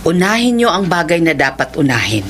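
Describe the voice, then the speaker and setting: Old filipina mom, low lively voice, self-sacrificing, gentle but firm, radio drama. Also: female voice, fairly quick, a little hiss or hum. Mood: firm, selfless. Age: older.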